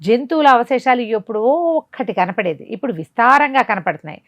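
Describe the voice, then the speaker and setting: A woman telling a bedtime tale in an animated, conversational way, dramatically and with rhetorical questions. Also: fairly high pitch, fairly quick, no echo. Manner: dramatic, asks rhetorical questions as she narrates. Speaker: storyteller.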